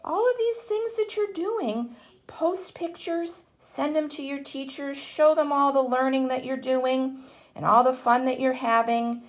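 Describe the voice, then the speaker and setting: A woman's voice: sits high, unhurried. A woman teacher talking to young children, encouraging them with simple step-by-step instructions and questions. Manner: encouraging, asks questions and answers them herself. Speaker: kindergarten teacher.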